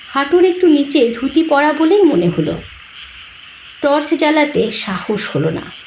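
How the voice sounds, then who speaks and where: A woman's voice: medium pitch, a little mellow. A woman storyteller narrating a horror story in a dramatic style. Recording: roomy, dull sound with clear noise.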